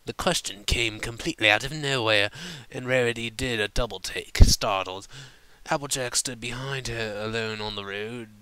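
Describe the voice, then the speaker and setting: A man reading fan fiction as an expressive, dramatic storybook narrator. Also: lowish voice, clear, resonant tone, no room sound, rough recording.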